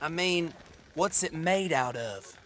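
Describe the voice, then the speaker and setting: A boyish, boastful drifter in an audio drama. The voice is high and leaps around theatrically, with slow, drawn-out phrasing. He sounds cocky and naive. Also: animated, fairly bright tone, slight background noise. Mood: curious, jaunty. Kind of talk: dramatic audio fiction acting.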